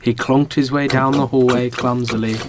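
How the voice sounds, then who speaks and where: A man narrating a comic radio play as a podcast host, with dramatic, deliberate storyteller phrasing. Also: medium pitch, fairly even intonation. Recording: amateur podcast, clearly noisy background.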